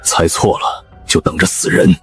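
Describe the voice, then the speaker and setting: A man playing a coldly observant young leader in an animated survival drama, speaking in a measured, curt, analytical way. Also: soft background music, natural voice. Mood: cool, analytical. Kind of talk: animated web drama acting.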